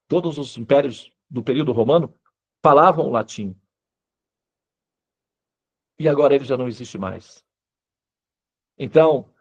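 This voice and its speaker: A man's voice: medium pitch, darkish tone. A Brazilian man speaking Brazilian Portuguese as a spiritual teacher, explaining in an informal lecture style and often checking in with short tag questions.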